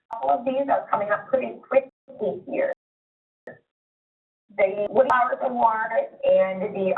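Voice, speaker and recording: Woman, conference call presenter, narrow phone sound, distant from mic, conversational, explanatory, informal briefing. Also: mid-range voice. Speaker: conference call presenter, robotics team mentor.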